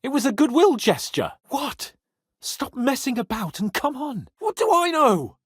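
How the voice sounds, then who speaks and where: A man playing a spoiled, cocky young nobleman in a video game, flippant and theatrical, swinging between whiny and wry, with a husky voice. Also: extremely high pitch, very animated, measured pace, dry recording, studio quality, exaggerated voice. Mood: urgent, exasperated. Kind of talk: video game character voice acting.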